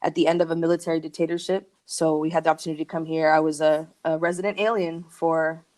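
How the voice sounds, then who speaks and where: A woman's voice: low-pitched, little pitch movement, quick pace, darkish tone. A woman from Argentina and the United States speaking as a podcast guest, telling personal stories in a casual, candid, slangy way full of likes and you-knows. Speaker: podcast guest, creative working from home.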